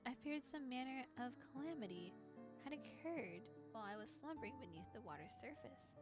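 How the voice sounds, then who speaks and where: A woman's voice: on the high side, animated, fairly quick, music in the background, natural voice. A woman voicing a sweet, girlish, lonely mermaid with genteel, formal speech, as read from a visual novel. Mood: wistful, refined. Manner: genteel, formal, effusive phrasing. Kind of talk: visual novel character reading.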